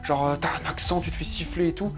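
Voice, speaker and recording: A man's voice: on the high side, fast talker, a bit bright. Chatty slangy young French man. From France. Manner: slangy, chatty, many fillers and asides. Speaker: vlogger narrating his trip. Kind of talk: casual voice-over storytelling. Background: faint music.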